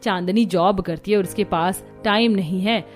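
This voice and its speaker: A woman's voice: medium pitch, moderate pace, faint music behind, lightly acted. A woman voicing an older mother-in-law in a cartoon family story, partial and fussy, given to fawning and scolding. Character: older mother-in-law, doting on the rich daughter-in-law.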